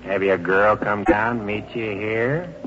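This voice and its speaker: An actor playing a folksy, helpful older American small-town station master in an old-time radio drama, with a homespun, neighborly manner. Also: male voice, lowish voice, lightly acted. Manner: homespun, drawn-out hesitations, neighborly. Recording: muffled, hissy old radio recording.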